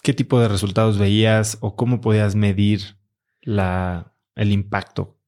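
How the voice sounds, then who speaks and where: A man's voice: fairly low pitch, flat delivery, unhurried, a little mellow. A man interviewing a guest, asking curious, probing questions.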